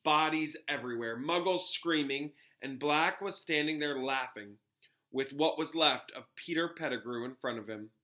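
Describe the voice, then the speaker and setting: A man voicing a pompous, grave middle-aged government minister in a storybook, recounting things in a halting, dramatic way. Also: on the high side, sharp tone. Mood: haunted.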